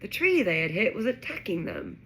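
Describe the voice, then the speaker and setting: A woman reading a children's novel aloud in an expressive, dramatic narrating style. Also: mid-range voice, fairly quick. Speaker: storybook reader. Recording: faint background noise.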